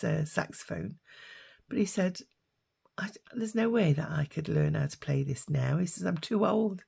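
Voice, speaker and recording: An older British woman with a husky, hoarse voice from a lingering cough, chatting casually while she crafts. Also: fairly low pitch, warm tone. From United Kingdom. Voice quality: husky, raspy. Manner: rambling and chatty, with many fillers. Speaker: craft vlogger.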